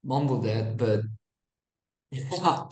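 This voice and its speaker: A young adult man with a Korean accent, a singer and guest answering interview questions in halting English with short replies. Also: medium pitch, echoey room, rough recording. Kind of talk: casual interview chat. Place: radio studio.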